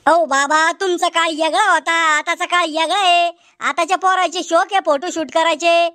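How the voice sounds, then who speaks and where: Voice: very high voice, fairly even intonation, moderate pace, sharp tone. A performer voicing an argumentative, sarcastic village family member in a comedy cartoon. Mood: bickering. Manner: bickering, sarcastic, blunt. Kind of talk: Marathi comedy cartoon dialogue.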